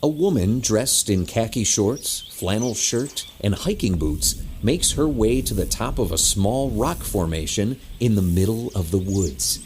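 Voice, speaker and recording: A man's voice: fairly low pitch, lively intonation, measured pace, few pauses, darkish tone, slight background noise. A man reading scripted scene narration for an audio drama.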